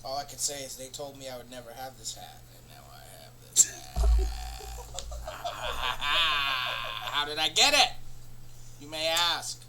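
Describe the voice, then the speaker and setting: A man hosting a comedy show, rambling through an absurd, profane monologue in a deadpan way. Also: medium pitch, a little slow, vocal fry, pauses often, background noise. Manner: rambling, profane, deadpan nonsense with sudden bursts of laughter.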